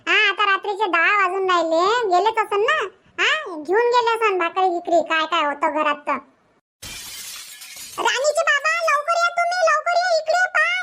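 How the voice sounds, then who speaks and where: An excitable young cartoon village wife with a piercingly high, childlike voice. Her tone is bright and sharp, and she nags and complains in a Varhadi Marathi accent. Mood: alarmed. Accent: Varhadi Marathi from Vidarbha. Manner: nagging, grumbling, exclamatory. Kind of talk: Marathi cartoon comedy dubbing.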